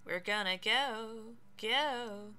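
A woman giving casual, animated commentary as she streams a video game let's play. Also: on the high side, extremely slow. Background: game music.

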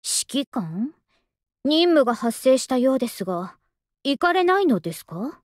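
A young anime game soldier woman with a high voice. She is languid and polite, with an understated affection, and her pitch swings widely. The sound is dry and close. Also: very animated, measured pace, dry recording. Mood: polite, lazy. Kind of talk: Japanese anime game voice acting.

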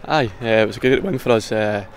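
A young Scottish man, a footballer giving a casual post-match interview outdoors by the pitch, saying "aye" in a quick, relaxed way. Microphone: handheld interview mic, close camera.

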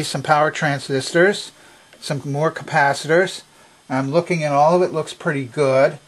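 An older man narrating a hands-on repair demonstration in a casual, unscripted, methodical step-by-step way. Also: medium pitch. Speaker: presenter of a vintage electronics repair video. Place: indoor workbench.

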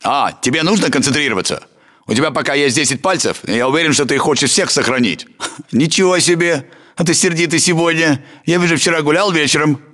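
A man's voice: on the high side, brisk, sharp tone, slight background noise. A man playing a Russian soldier guarding a base in a military video game. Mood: teasing, chatty.

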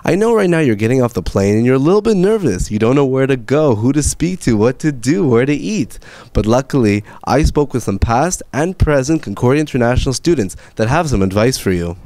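A man's voice: mid-range voice, fairly quick, dry recording. A young man in his twenties, a student host, giving friendly tips straight to the viewer. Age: 20s. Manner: lively, friendly, addressing viewer. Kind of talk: upbeat guide to camera.

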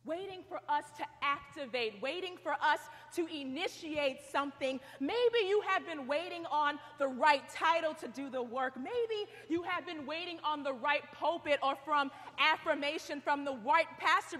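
A woman's voice: sits high, fairly bright tone. A young woman preaching a sermon, telling stories animatedly and acting out what she says. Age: young adult. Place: large stone chapel. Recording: long hall reverb.

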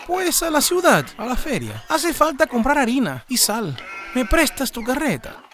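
An actor voicing a poor, meek, good-natured peasant in an animated folk tale, exclaiming in bewilderment. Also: male voice, extremely high pitch, moderate pace, full character voice. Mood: timid, puzzled.

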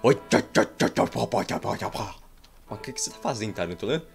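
A man's voice: fairly low pitch, brisk, gravelly. Playful Brazilian man rambling over gameplay. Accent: Brazilian Portuguese. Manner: playful, rambling, jokey, sudden excited outbursts. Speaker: gameplay streamer. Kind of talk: casual gameplay commentary with roleplay. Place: indoor room at home. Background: faint game music.

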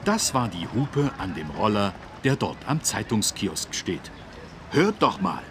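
A husky-voiced man narrating a children's learning game, explaining things in an encouraging, animated way with careful phrasing. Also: on the low side, measured pace, background noise. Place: dry studio.

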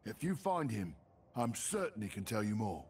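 A man's voice: fairly low pitch, very animated, normal speed, darkish tone, husky, recorded from a distance. An actor playing a humble, earnest villager and father in a fantasy game, rustic in manner. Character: humble, grateful male villager, worried father.

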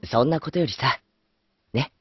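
A man playing a gentle, easygoing young man in an anime audio drama, soft-mannered and informal. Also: mid-range voice, normal speed, close to an everyday voice. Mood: mild, casual. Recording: dull lo-fi audio. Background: music.

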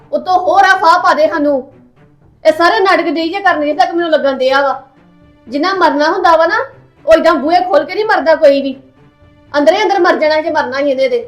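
Woman as a sharp-tongued sister-in-law, very high voice, mocking, echoey room. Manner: mocking, scolding.

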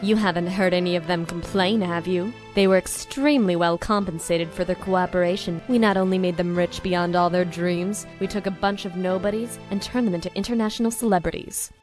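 A woman's voice: lowish voice, steady tone, a little fast, few pauses, a little mellow, soft background music, lightly acted. A woman playing a calculating, self-assured female scientist villain in an anime dub, with composed, coaxing delivery. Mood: proud. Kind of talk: dramatic anime dub acting.

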